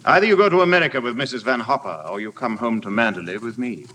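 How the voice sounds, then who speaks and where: An actor playing a brusque, wry, wealthy gentleman in a vintage radio drama, clipped and commanding. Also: medium pitch, expressive, fast talker. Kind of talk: 1950s radio drama acting. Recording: vintage radio recording with background music.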